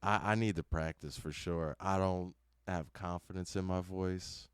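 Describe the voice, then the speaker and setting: Deep, slow-talking young man. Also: clear, resonant tone, no echo, studio quality. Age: 20s. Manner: relaxed, hesitant, many ums. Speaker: band member, instrumentalist. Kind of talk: casual interview conversation.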